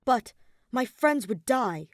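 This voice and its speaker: A woman's voice: a little high, animated, takes it slowly, no echo, pitched above the performer's own voice. Woman as an earnest, stubborn teenage boy, close and dry. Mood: incredulous, defiant. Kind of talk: dramatic character reading in an audio fiction.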